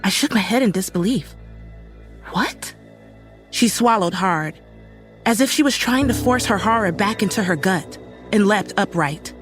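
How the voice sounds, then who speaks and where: Horror narrator actress: uneasy young woman, serious suspenseful delivery, mid-pitched, soft music behind. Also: animated, measured pace, pauses often, close to an everyday voice. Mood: tense. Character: uneasy young woman recounting a horrifying night. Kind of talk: dramatic scripted first-person horror narration. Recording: music faintly under the voice.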